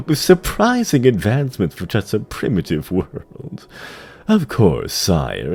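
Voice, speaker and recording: Man voicing an imperious scheming demon lord, swooping pitch. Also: dark tone, full character voice. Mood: mocking, sly. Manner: smooth, mockingly deferential, measured. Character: imperious, scheming bat-winged male demon dreadlord. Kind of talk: fantasy audio drama acting.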